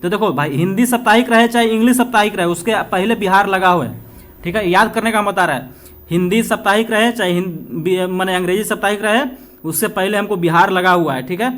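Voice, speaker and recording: High-pitched man teaching informally. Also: brisk, fairly bright tone. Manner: repetitive, asks questions then answers them, chatty check-ins with listeners. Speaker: exam-prep teacher. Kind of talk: informal lecture, quick question-and-answer revision.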